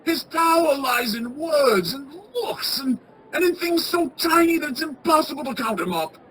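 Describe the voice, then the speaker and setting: A woman playing an anxious, sentimental old male miser in a radio play, stammering and halting with emotion. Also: high voice, expressive, fairly bright tone, slight background noise, rough recording. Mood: earnest, stirred.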